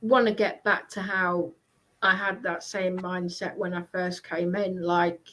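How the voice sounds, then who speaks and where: A woman chatting casually in a hesitant, halting way, often repeating herself. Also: lowish voice, flat delivery, fairly bright tone. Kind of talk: casual chat.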